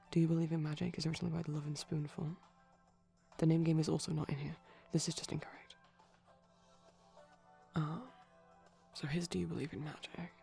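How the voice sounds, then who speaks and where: A man speaking softly in a chatty, rambling ASMR style, often dropping to a whisper, digressive and tentative. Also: fairly high pitch, vocal fry. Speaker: ASMR performer. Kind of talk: soft-spoken rambling ASMR commentary on a film. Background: TV film audio playing in background.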